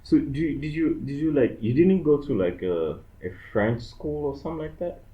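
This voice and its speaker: A man's voice: medium pitch, animated, normal speed, a crowd in the background. Young man, chatty, echoey dull room. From Democratic Republic of the Congo. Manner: rambling, fills with "like" and "you know". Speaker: podcast co-host. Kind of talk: casual chat.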